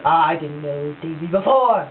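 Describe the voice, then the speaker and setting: A woman's voice: low voice, expressive, a little slow, distant mic. A woman voicing a scornful, blustering rich husband in an amateur puppet show, with a sneering, huffy delivery. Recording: tinny lo-fi home recording with hiss.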